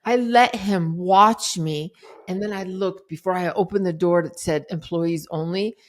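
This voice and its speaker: Animated middle-aged woman telling a story. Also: on the low side, unhurried. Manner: animated, dramatic retelling with acted-out quotes. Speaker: podcast guest, life coach. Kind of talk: casual interview storytelling.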